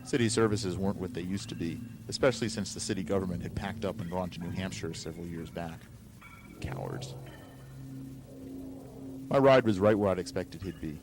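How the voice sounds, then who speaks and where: A man's voice: sits low, a little fast, music in the background. A man reading a scripted first-person story with a wry delivery, on a noisy amateur recording.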